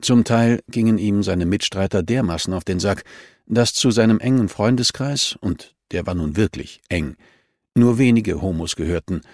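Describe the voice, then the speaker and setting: Low-voiced male audiobook narrator, dry sound. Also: a little mellow, no room sound. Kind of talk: scripted crime novel narration.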